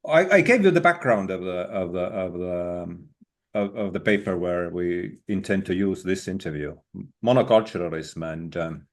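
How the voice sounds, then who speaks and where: Man interviewing, halting and stumbling. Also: low voice. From Estonia. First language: Estonian. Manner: halting, stumbling restarts, laughs easily. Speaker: interviewer, newspaper editor. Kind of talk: casual conversational interview.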